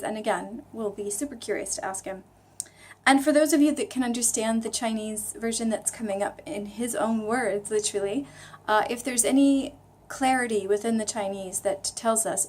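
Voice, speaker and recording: A woman's voice: mid-range voice, a little mellow. Musing woman vlogger thinking aloud. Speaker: vlog host. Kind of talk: casual reflective chat about reading.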